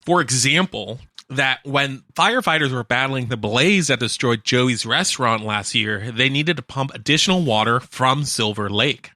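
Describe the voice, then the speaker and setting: Bright-voiced man co-hosting local radio. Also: mid-range voice, normal speed, few pauses, no room sound, clean studio sound. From Canada. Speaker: radio show co-host. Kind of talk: casual local radio chat, reading news quotes.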